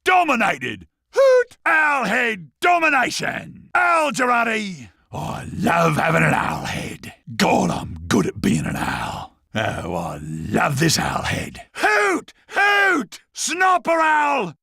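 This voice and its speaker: Whooping Australian owl-headed sniper. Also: male voice, very high-pitched, wildly swooping pitch, takes it slowly, gravelly, bright, forceful tone, no room sound, shouting, clean studio sound, heavily acted. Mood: smug. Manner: cocky, hooting exclamations. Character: cocky gleeful owl-headed sniper, hooting. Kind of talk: exaggerated video game character acting.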